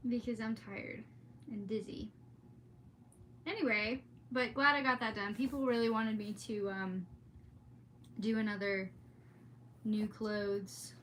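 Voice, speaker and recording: Woman, high voice, informal rambling vlog chat, exclamatory, easily sidetracked, faint background noise. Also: a bit bright. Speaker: streamer hosting a read-aloud.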